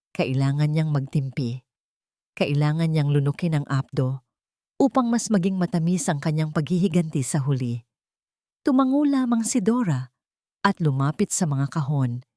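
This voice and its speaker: A woman narrating a scripted romantic drama in a measured, sentimental storytelling manner. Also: fairly low pitch, a little mellow, no echo, clean studio sound.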